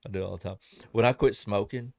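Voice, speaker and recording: A man hosts a relaxed front-porch podcast, rambling easily and laughing often. His voice sits low and slightly raspy. The recording is dry and close. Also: fast, no echo. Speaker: podcast host. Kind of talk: casual chat.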